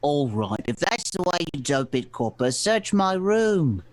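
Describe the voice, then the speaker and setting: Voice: mid-range voice, very animated, a little slow, dry recording. A performer voicing a defiant, surly young man under suspicion in an old-time radio crime play, curt and tough-talking.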